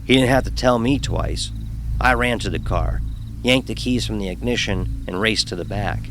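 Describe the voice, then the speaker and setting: A man's voice: lowish voice, normal speed, fairly bright tone, a little hiss or hum. A man narrating a first-person horror story in a dramatic, wry manner.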